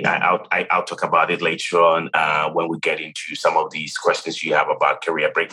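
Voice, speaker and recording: Ghanaian man, late 30s, deep and bright; thoughtful finance guest, restarts often. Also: husky, poor audio. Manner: thoughtful, with frequent restarts and "you know". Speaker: CFO guest. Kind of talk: interview, telling his career story.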